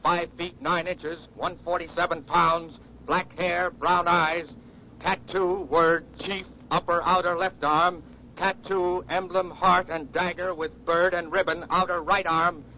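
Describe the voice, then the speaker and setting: A man's voice: sits high, little pitch movement, a little slow, sharp tone. Urgent guy on old police radio bulletin, clipped words, dull sound with lots of noise. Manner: urgent, clipped, telegraphic delivery. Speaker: crime bulletin announcer. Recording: noisy muffled vintage radio broadcast.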